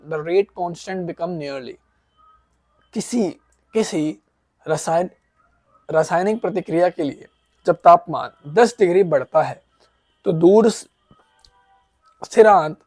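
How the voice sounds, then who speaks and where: A hoarse man teaching an exam-prep chemistry lesson, slowly reading out each question and then asking and answering his own questions. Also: sits high, darkish tone, dry recording. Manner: explains in Hindi, reads questions aloud in English, asks and answers his own questions.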